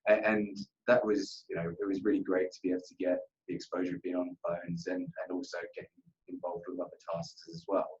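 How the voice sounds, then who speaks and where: Deep-voiced man giving earnest interview answers. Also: flat delivery, fairly quick, lo-fi sound. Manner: earnest, repeats himself, often says "you know". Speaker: account manager being interviewed. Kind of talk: workplace interview, conversational answers.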